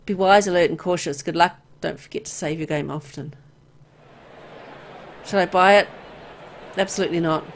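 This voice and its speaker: A woman reading visual novel text aloud as expressive, storytelling narration. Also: lowish voice, moderate pace, pauses often. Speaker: video game player and narrator.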